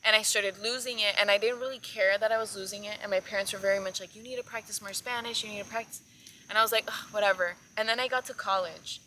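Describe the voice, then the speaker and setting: A woman's voice: medium pitch, fairly even intonation, fast, pushed and bright, slight background noise, poor audio. A young adult woman from South Florida, a naturalist guest telling stories in a casual, chatty way with lots of "like.". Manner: chatty, filler-heavy, many "like"s. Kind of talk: casual conversational storytelling.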